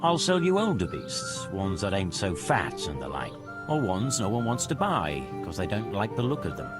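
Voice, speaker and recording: Shrewd male village livestock dealer, medieval game character, folksy, frank, hugely varied intonation, loud background music. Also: fairly low pitch, huge pitch swings, moderate pace. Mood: frank haggling. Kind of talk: video game cutscene acting.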